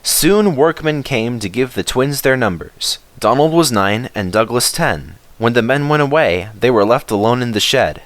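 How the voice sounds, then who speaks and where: A man reading a children's story aloud in an expressive scripted narration style. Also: mid-range voice, normal speed, few pauses, no echo.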